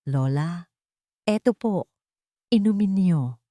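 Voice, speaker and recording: A woman voicing a caring young grandson, speaking gently and respectfully in an audio storybook. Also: lowish voice, expressive, takes it slowly, no room sound, studio quality. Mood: caring, tender.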